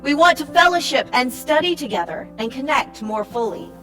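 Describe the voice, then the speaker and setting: A woman reading a scripted church summary in a measured way, as a narrator. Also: sits high, animated, fairly bright tone. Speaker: narrator, church project team member. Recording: music playing behind the voice. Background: music.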